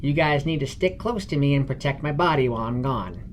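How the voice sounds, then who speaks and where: A man's voice: mid-range voice, expressive, brisk, a little hiss or hum, natural voice. A man voicing a female gnome spellcaster in a fantasy story, with a stern, instructive and wry manner. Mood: explaining, focused. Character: bossy, dry-humored female gnome spellcaster.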